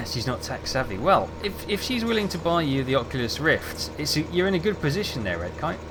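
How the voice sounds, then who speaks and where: A man's voice: on the high side, a little fast. Chatty male game streamer over background hum. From England. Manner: relaxed, chatty, answers chat while playing. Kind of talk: casual gameplay commentary, chatting with viewers.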